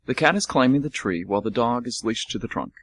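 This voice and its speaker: A young man co-hosting a college radio theater show, talking in a relaxed, hesitant way with fillers. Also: medium pitch, fast talker. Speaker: co-host.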